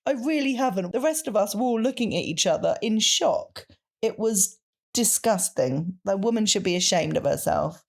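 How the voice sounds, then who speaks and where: A woman's voice: medium pitch, animated, moderate pace, creaky. A woman co-hosting a podcast, telling personal anecdotes in a chatty, emphatic way. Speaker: podcast co-host. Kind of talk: casual chat, personal anecdotes.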